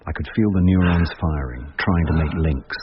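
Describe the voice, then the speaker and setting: An actor playing a rueful, middle-aged amateur sleuth in a radio drama, with an English accent and a dry, understated manner. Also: male voice, a bass voice, moderate pace. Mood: reflective narration. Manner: dry, understated, drily humorous. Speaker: actor narrating and playing the lead. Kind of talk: radio drama narration and dialogue. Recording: dull, noisy radio drama recording.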